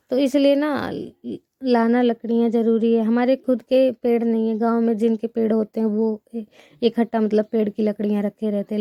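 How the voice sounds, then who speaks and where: A young village woman talks on and on to her viewers while she sweeps and smears mud on her floors, her throat a little sore. Her voice sits slightly high but sounds soft and mellow. The phone recording is close and dry, with no room echo. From India. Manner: chatty, rambling, addresses viewers as friends. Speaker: village vlogger. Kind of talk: casual chat to viewers while doing chores.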